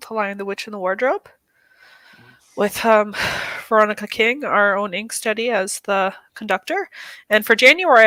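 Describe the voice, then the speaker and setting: Bright-voiced woman co-host. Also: mid-range voice, dry recording. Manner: chatty, filler-heavy, upbeat. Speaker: co-host, book club runner. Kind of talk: casual chat.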